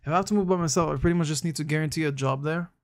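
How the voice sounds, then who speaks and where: A man's voice: on the high side, racing. Laid-back young man chatting on stream. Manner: laid-back, slangy, calls chatters bro; thinks aloud with frequent restarts. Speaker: live streamer. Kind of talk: casual live-stream chat answering viewers.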